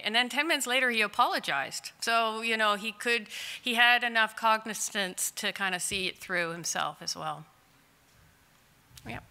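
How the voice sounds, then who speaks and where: Loud chatty woman, bright crisp voice, big hall with long echo. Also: mid-range voice. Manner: chatty, candid, laughs often. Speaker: clay artist in long-term care. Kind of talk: conference Q&A answers. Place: conference hall. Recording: distant in a large reverberant hall.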